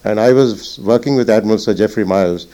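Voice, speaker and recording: An older man, a retired naval officer recalling the past in an interview, reflective and halting with many fillers. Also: on the low side, dark tone. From Punjab. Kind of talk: oral history interview.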